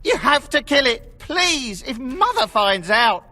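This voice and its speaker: High, swooping, nervous young woman. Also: very animated, slow, pushed and bright, in a large hall, exaggerated voice. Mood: sheepish, pleading. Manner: hesitant, pleading. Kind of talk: fantasy video game acting.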